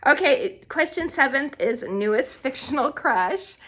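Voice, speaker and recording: Bright-voiced woman chatting casually about her picks. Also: high voice, lively intonation, a little slow. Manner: informal, thinks aloud. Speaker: book vlogger. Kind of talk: casual, chatty book tag video.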